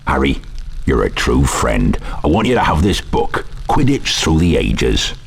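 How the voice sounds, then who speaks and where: An actor playing a big, gruff, kindly groundskeeper in a video game. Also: male voice, very deep voice, wildly swooping pitch, a little slow, soft background music, heavily acted. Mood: doting, grateful. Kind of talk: video game character acting.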